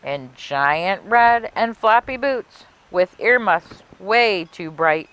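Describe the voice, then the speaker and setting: Slow, expressive woman reading to children. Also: medium pitch, clean tone, muffled recording. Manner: expressive, deliberate storytelling. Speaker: library story time reader. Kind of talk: children's picture book read-aloud.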